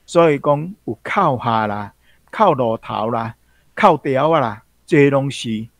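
A man's voice: medium pitch, lively intonation, takes it slowly, dry recording. A man with a Taiwanese accent explaining vocabulary as a guest teacher on a programme. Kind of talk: explanatory commentary.

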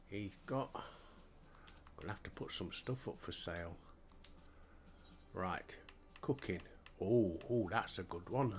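Older Yorkshire gamer, low, unhurried, self-deprecating, home headset mic. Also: male voice, slight background noise. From Yorkshire, England. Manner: chatty, self-deprecating, talks to himself while playing. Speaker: gaming streamer. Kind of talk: relaxed gameplay commentary.

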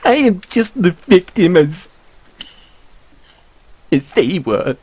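A man voicing a bitter, tormented frog mascot in an audio roleplay, with a halting, tearful, self-pitying delivery. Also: sits high, big pitch swings, darkish tone, breathy edge, no echo, heavily acted. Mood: anguished, defensive. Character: weepy, bitter, tormented frog mascot haunting an abandoned amusement park. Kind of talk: audio roleplay monologue with mournful sung counting rhyme.

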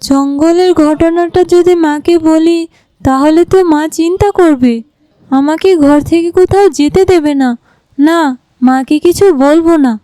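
A woman voicing a gentle, devoted young daughter in an animated fairy tale. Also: very high voice, unhurried, no echo, faint music behind. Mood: hesitant.

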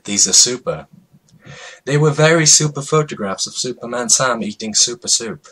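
A man with a husky voice giving a mock-dramatic, emphatic comedic reading of a story as its narrator. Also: medium pitch, lively intonation, moderate pace, bright, forceful tone, low-quality recording.

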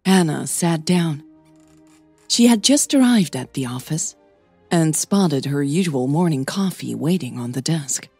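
Low, husky woman narrating fiction. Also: expressive, measured pace, a little mellow, no echo, faint music behind. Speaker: podcast narrator.

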